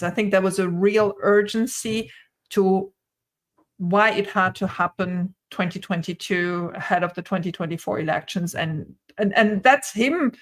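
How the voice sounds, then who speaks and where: A middle-aged woman speaking English as a third language, giving conversational opinion and analysis as an interview guest on a video call, often repeating words as she builds her point. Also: mid-range voice. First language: not English (English is her third language). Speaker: guest, retail investor commentator. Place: indoor room at home, on a video call.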